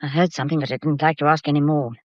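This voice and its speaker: Flustered English secretary, radio mystery. Low, confiding, hesitant, muffled sound. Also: female voice, steady tone, rapid-fire, darkish tone, no echo. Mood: anxious. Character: anxious, discreet gallery secretary, upset and hinting at secrets. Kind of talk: radio mystery drama acting. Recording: dull, muffled lo-fi audio.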